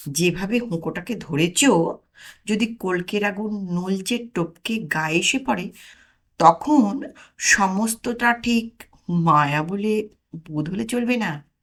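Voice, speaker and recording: A woman voicing a skeptical, cautioning male Brahmin scholar with measured, questioning, learned wording in a comic audio story. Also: medium pitch, a bit bright. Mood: wry caution.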